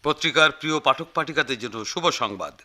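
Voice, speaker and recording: An actor playing a fussy, effusive newspaper office manager in a radio drama, with repetitive, hesitant speech. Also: male voice, fairly high pitch, expressive, fast talker, pushed and bright. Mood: grand, persuasive. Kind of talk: Bengali radio drama acting.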